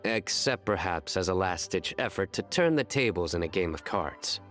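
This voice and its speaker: A stern young man speaks tersely and deadpan in a low voice. Faint game music plays behind him. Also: normal speed. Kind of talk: video game voice acting.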